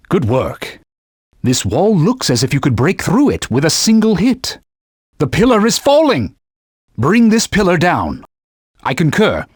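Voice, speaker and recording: An actor playing a stern, proud talking sword who guides a hero with formal, old-fashioned speech in a video game. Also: male voice, fairly high pitch, husky, dry recording, studio quality, exaggerated voice. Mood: alarmed. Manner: formal, stern, archaic phrasing. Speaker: sword companion giving gameplay hints. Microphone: dry studio booth.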